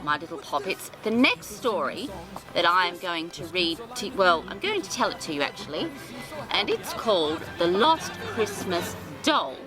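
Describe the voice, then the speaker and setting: A woman hosting a storytime for small children, speaking in a doting, sing-song, theatrical way. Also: medium pitch.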